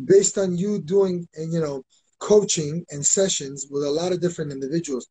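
A man's voice: fairly high pitch, moderate pace, mellow, breathy edge, poor audio. A man in his thirties hosting a video call interview, upbeat and direct with short prompts. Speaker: host. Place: small room at home. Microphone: video call, webcam mic.

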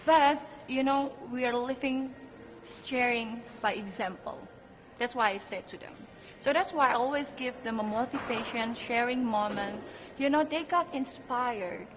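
A woman's voice: on the high side, unhurried. A woman in her twenties with an Indonesian accent, giving earnest, emphatic interview answers in non-native English as a pageant contestant. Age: 20s. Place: indoor press backdrop at a pageant event. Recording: clearly noisy room ambience.

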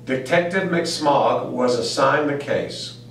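A man's voice: mid-range voice, slow pace, fairly bright tone. A man reading a children's picture book aloud. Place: small school room indoors. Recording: very echoey, distant mic, faint hum.

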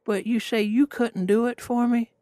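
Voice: mid-range voice, steady tone, normal speed, dark tone, no room sound, voice pushed up, natural voice. A performer putting on a frail, pleading, persistent elderly caller for a prank phone call. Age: older.

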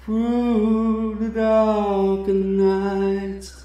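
Very echoey man slowly singing a ballad. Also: high voice, monotone, warm tone, lo-fi sound, faint music behind. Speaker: channel host. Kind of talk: slow, calm unaccompanied singing of a ballad. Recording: very echoey, distant from the mic.

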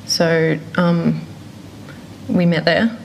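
Young Australian woman, gravelly and lowish, casual giggly chatter on a body mic. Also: steady tone, extremely slow, a little mellow, slight background noise, poor audio. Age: young adult. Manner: chatty, giggly, filler-heavy. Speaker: reality show contestant. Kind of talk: casual chat. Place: small studio room with sofa. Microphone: small body-worn mic.

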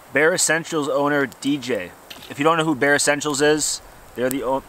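A man's voice: medium pitch, a bit bright. A young man bantering to camera while cooking over a campfire in snowy woods, recorded outdoors. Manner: joking, laid-back banter to camera. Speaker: outdoor vlogger. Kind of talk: casual camp cooking vlog. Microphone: camera a few feet away. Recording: outdoor recording with clear background noise.

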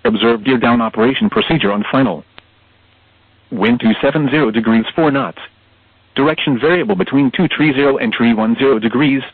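Guy reading airport info on the radio, rough husky voice, phone-like sound, dry. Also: mid-range voice, brisk, no echo. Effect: aviation radio transmission. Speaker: airport information broadcast announcer. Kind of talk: formulaic read-out aeronautical bulletin. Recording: muffled narrowband radio broadcast.

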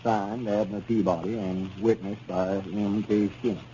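Man playing a very dark-voiced, fussy Southern storekeeper. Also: fairly low pitch, level tone, unhurried, natural voice. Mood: reading out slowly. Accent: rural Southern American. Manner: fussing, reproachful, folksy. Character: fussy, scolding old country storekeeper, male. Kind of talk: 1930s radio comedy acting. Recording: dull, noisy old radio recording.

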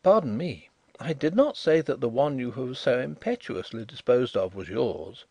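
A man voicing a calm, politely ironic blind gentleman detective with a smooth voice. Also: mid-range voice, moderate pace.

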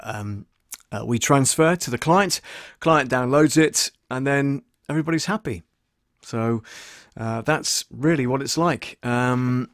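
A man's voice: medium pitch, no echo. Man, chatty, close dry sound. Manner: informal, chatty. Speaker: audio producer and live-stream host. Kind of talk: casual tutorial chat to viewers. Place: small soundproofed home studio in a converted shed. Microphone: desk condenser microphone, close.

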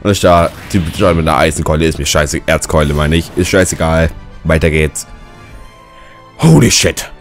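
A young man with a slightly hoarse, cold-worn voice, commentating a fighting game and ranting with lots of swearing. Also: extremely low pitch, steady tone, moderate pace. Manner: swears a lot, rants and shouts at the game. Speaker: let's player. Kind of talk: gaming commentary. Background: game music.

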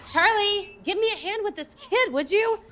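A woman's voice: extremely high pitch, lively intonation, normal speed, fairly bright tone. A woman playing a brash, crude, blunt woman in a sitcom. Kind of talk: sitcom acting.

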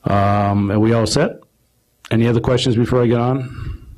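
A man acts as interviewer at a club gathering, speaking in a mid-pitched voice. His delivery is informal and halting, with stammered starts as he puts his questions. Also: fairly even intonation, a little mellow, rough recording. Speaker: interviewer hosting a club meeting.

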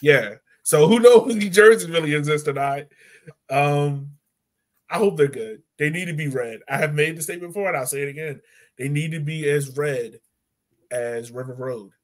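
An American man hosts a relaxed sports show over a video call from home. His voice sits a bit high, and he talks in a joking, opinionated style. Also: normal speed. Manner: jokey, opinionated. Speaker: podcast host. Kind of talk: casual sports chat. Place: room at home.

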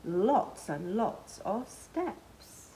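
A middle-aged woman reading a picture book aloud to children, expressively, pausing to point out the pictures. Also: mid-range voice, very animated, mellow, slight background noise, low-quality recording. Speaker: library storyteller. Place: small library children's corner, indoors.

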